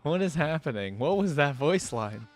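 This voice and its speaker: A man's voice: on the high side, sing-song, normal speed, faint music behind. A man hosting a reaction video, giving casual, off-the-cuff commentary.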